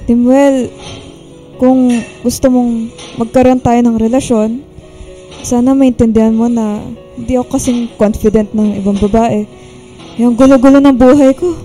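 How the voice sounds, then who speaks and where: A woman's voice: on the high side, fairly even intonation, moderate pace, pauses often, dark tone, soft background music, natural voice, low-quality recording. A woman acting a shy, insecure young woman in a Filipino radio drama, hesitant and coy. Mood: earnest, insecure. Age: young adult.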